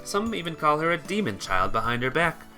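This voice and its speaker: A man giving an expressive, theatrical reading of a visual novel as narrator, occasionally stumbling over words. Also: on the high side, a little fast, faint music behind.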